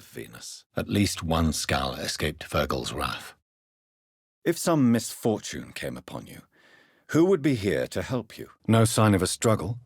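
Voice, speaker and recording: Video game lead: grave British vampire doctor, deep and husky, formal, courteous phrasing. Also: male voice, no room sound, clean studio sound, full character voice. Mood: brooding, intense. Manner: formal, measured, polite questioning. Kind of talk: dramatic video game dialogue.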